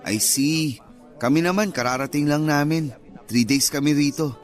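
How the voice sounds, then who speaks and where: Guy playing friendly filipino male nurse meeting his old sweetheart, radio drama, kinda high voice, soft music behind. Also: normal speed, natural voice. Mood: friendly, relaxed.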